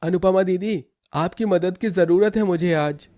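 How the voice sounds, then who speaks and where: Friendly young neighbour guy for cartoon tale, man acting, politely casual, fairly high pitch. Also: unhurried, mellow. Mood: requesting. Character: friendly young male neighbour asking for help.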